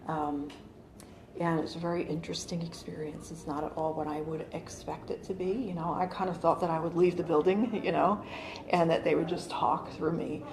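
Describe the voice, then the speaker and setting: A woman's voice: low-pitched, steady tone, moderate pace, crowd noise. A woman in her forties explaining her channeling to a small group in a living room, chatty, with many fillers and restarts, recorded on a phone camera across the room. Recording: roomy with faint background hum.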